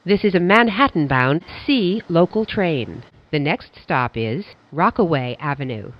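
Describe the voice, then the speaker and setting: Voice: medium pitch, expressive, measured pace, dull audio. A subway train announcer reading scripted transit announcements in formal, clipped stock phrases.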